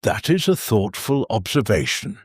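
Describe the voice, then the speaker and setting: A man playing a husky, earnest church chairman. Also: on the low side, no echo, clean studio sound. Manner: measured, bureaucratic deadpan. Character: earnest, formal male church meeting chairman. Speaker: leader of a church meeting. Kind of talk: audio drama acting.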